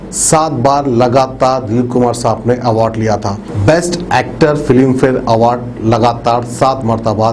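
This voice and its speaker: A man with a dark, mellow voice at an ordinary pitch delivers a news tribute straight to viewers. He explains in a conversational, repetitive way, leaning on habitual filler phrases. There is noticeable background noise behind him. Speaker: news presenter. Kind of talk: narrated obituary tribute report addressed to viewers.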